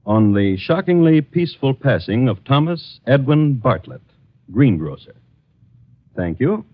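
An actor playing a grave, theatrical crime chronicler who narrates in a formal, florid 1950s radio drama style. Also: male voice, medium pitch, sing-song, measured pace. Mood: formal, measured. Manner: formal, portentous, florid storytelling. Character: grave, theatrical male crime chronicler reporting historic cases. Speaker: narrator and host. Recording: muffled old radio recording with music.

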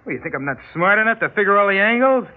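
A man's voice: high voice, lively intonation, very fast. A man with an American accent acting a cocky young male ringleader in an old radio drama. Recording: muffled, noisy old radio broadcast.

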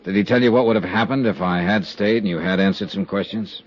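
An American actor playing a tough, steady insurance investigator in a 1950s radio drama, with clipped, hard-boiled delivery. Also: male voice, fairly low pitch, fast talker, natural voice. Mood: calm, probing. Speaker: lead actor and narrator. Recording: dull vintage 1950s radio recording.

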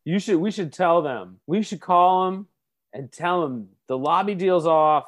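A man's voice: on the high side, a little slow. A man doing improv comedy, speaking in an emphatic, indignant way.

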